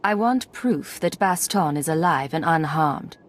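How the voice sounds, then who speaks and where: A woman's voice: low-pitched, animated, measured pace. A woman playing a cool, commanding Imperial secret agent in a video game, controlled and authoritative. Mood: firm.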